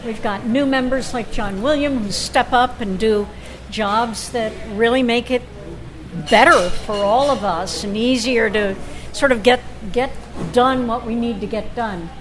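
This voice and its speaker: A woman chairing a club meeting with informal, unscripted remarks, often halting and restarting her sentences. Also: fairly high pitch.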